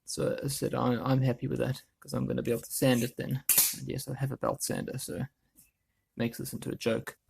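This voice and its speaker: A man with a gravelly voice explaining a woodworking job casually, thinking aloud as he works. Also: mid-range voice, little pitch movement, fast talker, noisy background. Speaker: DIY woodworking video host. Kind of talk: casual hands-on tutorial talk.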